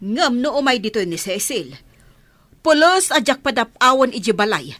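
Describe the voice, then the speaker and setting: Radio drama role: unforgiving scolding mother, forceful bright voice, close dry sound. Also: a little high, big pitch swings, normal speed, dry recording. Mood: bitter, scolding. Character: stern, unforgiving mother scolding about her daughter.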